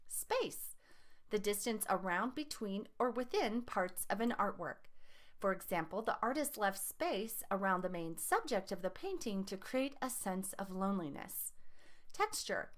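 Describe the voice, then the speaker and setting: A woman teacher reads scripted educational material aloud, setting out terms and examples in a deliberate, enunciated way. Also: mid-range voice, big pitch swings. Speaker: teacher reading lesson aloud.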